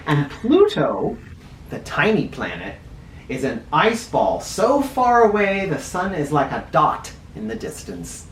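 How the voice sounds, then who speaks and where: A man reading a picture book aloud to children as host, deliberate and explanatory, with informal teaching asides. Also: on the high side, huge pitch swings. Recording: roomy echo, distant mic, faint noise.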